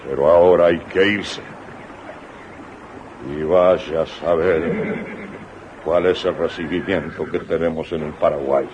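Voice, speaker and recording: A man's voice: rumbling low, steady tone, takes it slowly, long pauses. An actor playing a weary exiled general in a radio drama, solemn and rhetorical, grieving for the homeland he is leaving. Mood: resolute, weary. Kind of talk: 1980s radio drama acting. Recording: muffled, noisy old radio broadcast tape.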